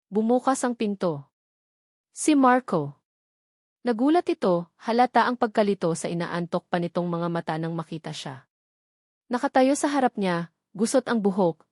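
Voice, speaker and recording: A woman narrates a scripted story dramatically, in short, measured, suspenseful phrases. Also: mid-range voice, darkish tone, no echo, studio quality.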